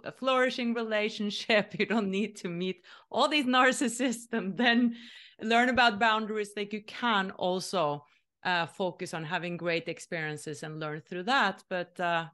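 A woman's voice: lowish voice, steady tone, normal speed, fairly bright tone. A woman hosts a spiritual podcast interview, talking thoughtfully and easily. She laughs through her words often. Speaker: interview host. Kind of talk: casual interview talk.

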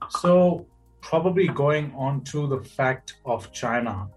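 A man's voice: medium pitch, lively intonation, takes it slowly, soft background music, low-quality recording. A man hosting a podcast, asking long rambling questions and tagging them with "right?" and "you know". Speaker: podcast host. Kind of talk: casual interview conversation.

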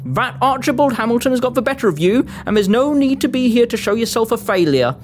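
Deferential, plain-spoken foreman, played by a woman, pitched low, for a period audio comedy, noisy recording. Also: animated, fast, a little mellow, natural voice. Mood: deferential, pitying. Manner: deferential, blunt. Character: apologetic but firm working-class male expedition foreman. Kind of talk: comic period audio drama.

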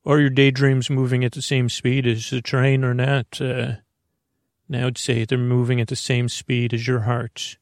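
Creaky-voiced man rambling soothingly. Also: mid-range voice, flat delivery, no echo, studio quality. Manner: soothing, meandering, halting with frequent stammers and self-interruptions. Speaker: podcast host. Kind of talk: rambling bedtime sleep podcast monologue.

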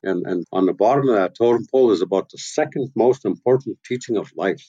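A man in his sixties from Canada's Pacific coast speaks in a low, dark voice, unhurried and gentle as he passes on old teachings. The sound is dry and close, with a slightly muffled, lo-fi edge. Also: husky, no room sound. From west coast of Vancouver Island, Canada. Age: 60s. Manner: slow, gentle, unhurried telling with soft chuckles. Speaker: guest, carver and elder. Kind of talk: reflective storytelling. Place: his home and carving workshop.

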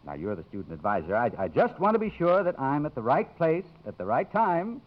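An actor with an American accent playing a brash, sardonic photographer and jilted suitor in a 1950s radio drama. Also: male voice, a little high, huge pitch swings, fairly quick. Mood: sly, feigned casual. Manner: sardonic, self-assured. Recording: dull vintage 1950s radio broadcast.

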